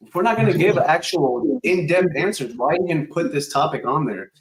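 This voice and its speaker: A man's voice: on the high side, lively intonation, moderate pace, low-quality recording. A man co-hosting a casual podcast, blunt and a little crude as he leads the segment. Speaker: podcast co-host. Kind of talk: casual chat.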